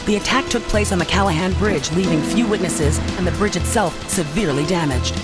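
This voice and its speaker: A woman playing a serious news reporter, reading a scripted bulletin in a formal, measured style for a video game. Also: lowish voice, lightly acted. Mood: grave, formal. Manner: formal, measured newsreader delivery. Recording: loud music under news voice.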